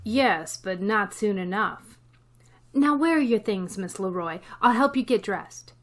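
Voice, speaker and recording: A woman playing a sardonic high school teacher in a radio comedy, with a dry, wisecracking manner. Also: animated, unhurried. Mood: wry, disapproving. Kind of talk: 1950s radio comedy acting.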